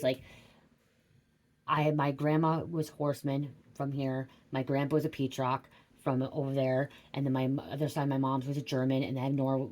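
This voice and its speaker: A woman's voice: a bass voice, a little mellow. Rambling, profane woman tarot reader. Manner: rambling, swears often, keeps asking "okay?". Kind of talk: rambling casual livestream reading.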